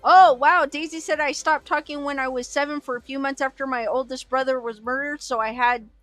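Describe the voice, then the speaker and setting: A woman's voice: sits high, steady tone, a little fast, fairly bright tone, dry recording. A woman hosting a livestream, chatting casually and laughing often as she reads viewer comments aloud. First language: Korean as early childhood language. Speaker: livestream host. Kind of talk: casual chat reading live comments.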